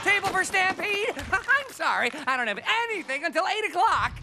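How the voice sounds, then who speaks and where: An actor voicing a vain, grandiose cartoon villain showman who is given to melodrama and mockery, with loud music behind. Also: extremely high pitch, very animated, moderate pace, exaggerated voice. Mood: smug. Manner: grandiose, melodramatic announcing, mocking. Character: vain, theatrical villain showman hoarding stolen knickknacks. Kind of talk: exaggerated cartoon acting.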